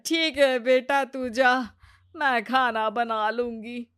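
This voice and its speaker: Woman acting a slow, weary older mother-in-law. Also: a little high, fairly even intonation, fairly bright tone, smooth, no room sound, clean studio sound. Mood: resigned. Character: long-suffering older mother-in-law, pleading but resigned. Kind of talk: cartoon story character voice.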